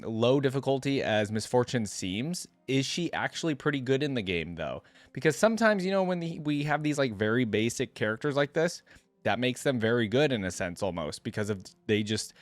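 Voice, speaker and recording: A man's voice: mid-range voice, no room sound. A man giving casual reaction commentary as the host of a video.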